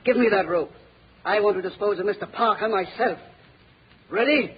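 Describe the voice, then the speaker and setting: A high-voiced, gloating radio villain. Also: male voice, normal speed, slightly rough. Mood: gloating, menacing. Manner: pompous, theatrical villainy. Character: gloating, sanctimonious male villain, ruthless gang leader. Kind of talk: 1930s radio acting. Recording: noisy, muffled 1930s radio recording.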